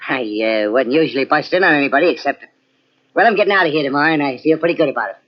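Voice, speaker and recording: An actor playing an easygoing small-time pickpocket with casual, slangy street talk in a vintage radio mystery. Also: male voice, fairly high pitch, expressive, rapid-fire. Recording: muffled vintage radio drama on cassette.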